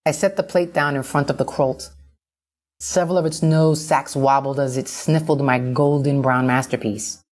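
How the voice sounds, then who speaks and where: A woman reading a first-person short story as an audiobook narrator, expressive and dramatic. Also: rumbling low, normal speed.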